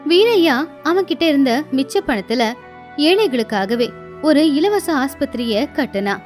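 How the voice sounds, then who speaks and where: A woman's voice: high voice, lively intonation, measured pace, soft background music. A woman narrating a scripted moral tale as a storyteller.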